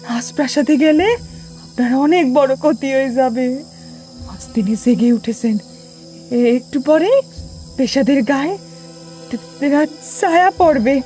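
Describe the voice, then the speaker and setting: An actress as a frightened maidservant in a horror audio play, pleading in a high voice with rustic speech. She draws her words out slowly over faint music. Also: a little mellow. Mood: fearful warning. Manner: imploring, rustic servant speech. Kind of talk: Bengali horror audio drama acting.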